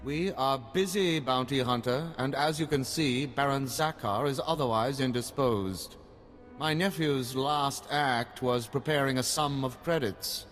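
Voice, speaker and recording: A cold, disdainful aristocrat, acted by a man for a game. His mid-pitched, formal voice carries hall reverb over music. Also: unhurried, fairly bright tone, natural voice. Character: stern, disapproving noble uncle. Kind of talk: video game dialogue.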